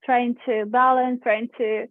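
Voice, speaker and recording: Woman from Romania, podcast host, slightly high voice, hesitant with fillers, tinny dry sound. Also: flat delivery, a little slow, no room sound. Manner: hesitant, many fillers, laughs often. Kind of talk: casual interview chat.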